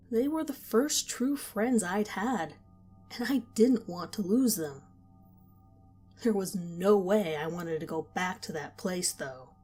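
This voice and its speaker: A woman reading a first-person horror story aloud, in a quiet, measured storytelling voice. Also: medium pitch. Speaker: narrator. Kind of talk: scripted horror story narration.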